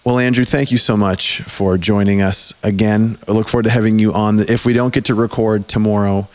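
Hoarse-voiced man hosting a podcast. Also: on the low side, steady tone, a little fast, a little mellow. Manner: loose and chatty, restarts sentences. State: hoarse, voice mostly gone. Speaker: podcast host. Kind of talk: casual podcast chat.

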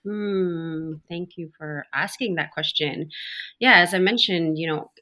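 A woman's voice: on the low side, unhurried, bright, forceful tone. A woman from Montreal, a podcast guest, speaking reflectively in a casual interview, with frequent ums and self-corrections. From Montreal, Canada. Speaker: podcast guest, human design guide.

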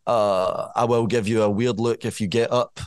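A man's voice: on the low side, steady tone, normal speed, clear, resonant tone, no room sound, clean studio sound. A Scottish rapper talking as a podcast guest, thoughtful and frank, rambling a little as he works through his views. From Scotland. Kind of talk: casual podcast chat.

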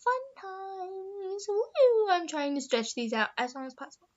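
A teenage girl co-hosts an improv comedy podcast in a high voice. She rambles in a playful, theatrical way. The sound is dull and lo-fi. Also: expressive, measured pace. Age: teens. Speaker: podcast co-host.

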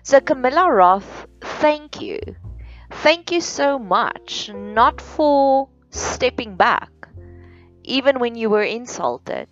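A woman from South Africa with an Afrikaans accent, hosting a solo podcast in a slow, earnest, deliberate way with many pauses. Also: a little high, sing-song. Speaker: podcast host. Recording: dull lo-fi sound with faint music.

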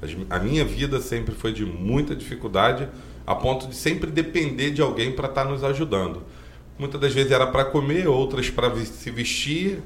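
A man's voice: mid-range voice, expressive, moderate pace, a little hiss or hum. Earnest rambling man in his forties. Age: 40s. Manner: rambling, earnest, many filler tags. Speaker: guest, driving school owner. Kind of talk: podcast interview storytelling. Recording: roomy podcast room.

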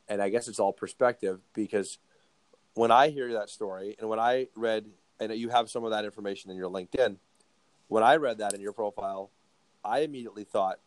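Guy hosting a podcast, kinda low voice, keeps repeating himself, dry mic. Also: no echo, poor audio. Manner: halting, repeats words often. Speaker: podcast host. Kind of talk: casual interview chat.

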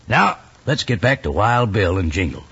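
An actor playing a folksy, chummy cowboy pitchman with a husky voice in a 1950s radio advertisement. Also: very animated, normal speed, fairly bright tone, faint music behind, heavily acted. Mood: cheerful, selling. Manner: folksy, emphatic, hard-selling. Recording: dull old radio recording.

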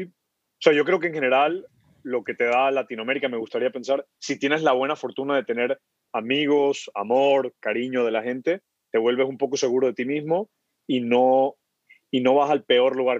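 A man's voice: mid-range voice, no echo. A man from Ecuador, a startup founder, talking casually as a podcast guest, often repeating words as he thinks. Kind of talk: casual interview conversation. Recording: dull muffled sound, dry.

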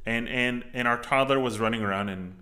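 A man's voice: medium pitch, fairly quick, bright, forceful tone. A man in his thirties from Los Angeles, co-hosting a casual chat in a playful, joking way with many fillers. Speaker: podcast co-host.

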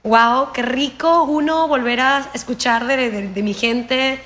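Woman, Venezuelan accent with Mexican touch, fairly high voice, chatty, colloquial. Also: slow, a bit bright, slight background noise. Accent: Venezuelan Spanish with a Mexican touch. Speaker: podcast guest. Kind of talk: casual interview chat.

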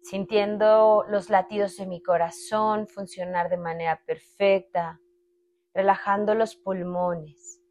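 A woman leading a guided meditation in a calm, unhurried way, speaking in short phrases with long pauses. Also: medium pitch. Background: meditation music with sustained tones.

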